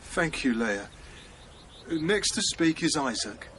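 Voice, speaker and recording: A reserved, kindly hermit, speaks in terse, measured phrases in a radio play. The fairly high male voice moves widely in pitch amid clear background noise. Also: big pitch swings. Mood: solemn. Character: bearded, reserved male hermit, reluctantly kind to strangers. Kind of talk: naturalistic radio drama acting.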